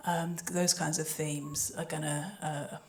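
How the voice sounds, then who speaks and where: A woman's voice: low-pitched, flat delivery, measured pace, vocal fry. A woman answering in an unscripted panel discussion, tentative and thoughtful, feeling her way through her points. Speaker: audio drama maker on a panel.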